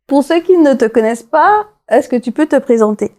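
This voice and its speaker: A woman hosts an interview show. Her voice is fairly high yet very soft and rounded in tone. She puts questions to her guest conversationally. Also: lively intonation, fairly quick.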